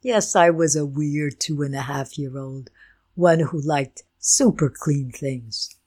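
An older woman whose first language is Ukrainian, telling her family's story in a slow, deliberate, reflective way. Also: low voice, darkish tone. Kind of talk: personal storytelling talk.

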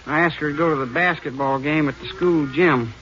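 A young, easygoing ranch handyman, played by an actor, with a fairly high voice. The sound is muffled and hissy, like an old radio broadcast. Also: a little fast, fairly bright tone, natural voice. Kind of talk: 1950s radio drama acting. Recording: dull old radio recording with hiss.

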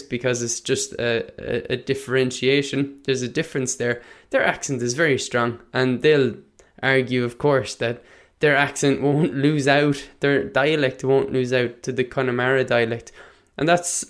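Irish man musing with many fillers. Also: mid-range voice. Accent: Irish, Munster. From Ireland. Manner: thoughtful, with frequent "uh" fillers and self-corrections. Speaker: Irish language teacher. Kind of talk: casual spoken lesson, talking to the listener.

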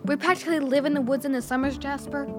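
A confident, teasing young girl adventurer, voiced by a woman for a children's audio drama. Her voice is high, with a dry joking manner and faint music behind it. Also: fairly even intonation, fast talker, natural voice. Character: plucky, teasing girl adventurer, school-age.

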